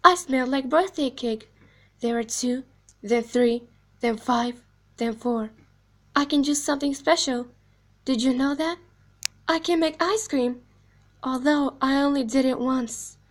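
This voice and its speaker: Woman voicing an eerie, high-pitched animatronic clown girl. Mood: wistful, eerie. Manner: slow, deliberate, hushed storytelling, dropping into whispers. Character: eerie soft-spoken animatronic girl clown, sinister and falsely sweet. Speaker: amateur voice actor. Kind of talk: video game character monologue.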